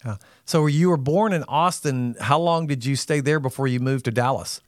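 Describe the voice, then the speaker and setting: A man's voice: medium pitch, normal speed, no echo, studio quality. A man hosting a podcast interview, earnestly asking a guest probing questions. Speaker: podcast host.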